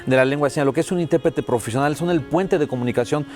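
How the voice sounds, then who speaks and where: A man with a Mexican accent voicing a deaf guest's words as an interpreter in a TV interview, steady and first-person with many "eh" fillers. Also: on the high side, very fast, faint music behind.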